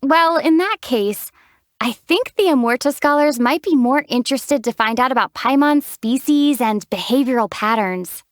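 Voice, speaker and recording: A young woman voicing a calm, dry-witted heroine in a game, teasing her companion in a deadpan way. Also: sits high, normal speed, no room sound. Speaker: player character, traveler heroine. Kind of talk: game voice acting.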